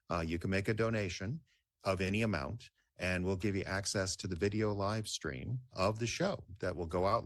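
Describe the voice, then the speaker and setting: A man's voice: low-pitched, no echo, studio quality. A man with an American accent explaining things casually in an interview, conversational and a little hesitant. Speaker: interviewee, community theater artistic director.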